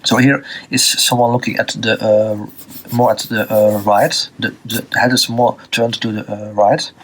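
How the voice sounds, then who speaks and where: Dutch-accented man, halting drawing tutorial. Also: on the low side, level tone, slight background noise. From Belgium. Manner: halting, many fillers and restarts while drawing. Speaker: art teacher.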